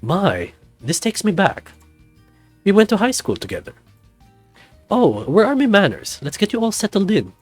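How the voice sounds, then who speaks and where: A man's voice: high-pitched, huge pitch swings, moderate pace, long pauses, husky, no room sound, soft background music, pitched above the performer's own voice, exaggerated voice. A man playing a flirty, theatrical snow leopard host. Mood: delighted. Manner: theatrical, flirtatious, calls people darling. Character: flirtatious middle-aged male snow leopard resort owner. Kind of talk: visual novel voice acting.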